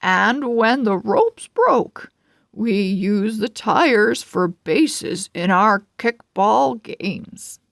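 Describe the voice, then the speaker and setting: A woman's voice: medium pitch, expressive, drawn-out words, vocal fry, a bit bright, no echo, clean studio sound, heavily acted. A woman reading the part of a good-humored elderly husband in a storybook, fondly and emphatically sharing memories. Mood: amused, fond.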